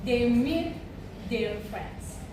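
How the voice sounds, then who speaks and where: A woman's voice: on the high side, animated, drawn-out words, noisy background. A woman narrating a scripted folk story as part of a stage play. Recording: echoey room, far from mic, music behind. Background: music.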